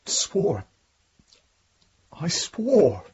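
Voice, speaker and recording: An actor playing a young condemned prisoner in a radio drama, deferential and wounded, reluctant to confide. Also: male voice, high-pitched, wildly swooping pitch, very slow, darkish tone, slightly rough, highs cut off, exaggerated voice. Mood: anguished, reluctant. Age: young adult. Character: haunted young condemned prisoner protesting his innocence.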